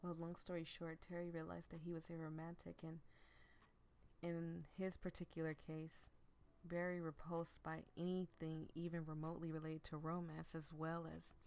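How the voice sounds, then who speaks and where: A woman voicing a gentle, attentive young boyfriend in a visual novel, measured, sincere and open about feelings. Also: deep voice, monotone. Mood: reflective, sincere. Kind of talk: visual novel read aloud.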